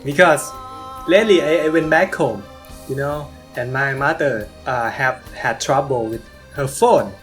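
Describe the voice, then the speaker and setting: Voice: medium pitch, unhurried, soft background music. A speaker telling a family story casually, with an amused manner.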